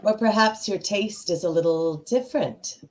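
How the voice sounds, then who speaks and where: A woman's voice: medium pitch, moderate pace, darkish tone, lightly acted. A woman playing a sly, seductive shapeshifting villainess in a radio play, with a teasing manner. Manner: teasing, insinuating. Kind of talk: radio-play script reading.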